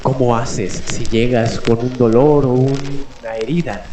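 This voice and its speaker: A man hosting a late-night mystery radio show, speaking in dramatic, deliberate phrases with pauses between them. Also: mid-range voice, steady tone, dark tone, slight background noise. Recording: echoey voice over background music. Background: music.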